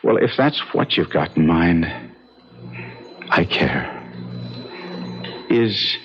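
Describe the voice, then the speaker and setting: An actor in a 1970s radio drama playing a besotted, anxious husband, halting and intense. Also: male voice, sits low, big pitch swings, slight background noise, heavily acted. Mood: earnest longing. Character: besotted, anxious husband, impatient and easily panicked. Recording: dull 1970s radio broadcast with music.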